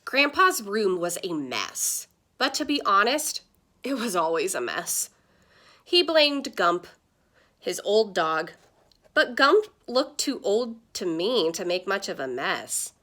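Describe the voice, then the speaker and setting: A woman's voice: medium pitch, slow pace. A woman reading a children's picture book aloud, expressively, with asides to the listeners. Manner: expressive storytelling. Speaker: story reader.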